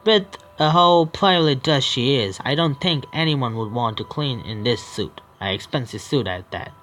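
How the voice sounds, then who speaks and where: A man with an Indian English accent, a gaming streamer reading a horror visual novel aloud, with halting restarts. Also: mid-range voice, fairly bright tone. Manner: halting, stumbling read with restarts.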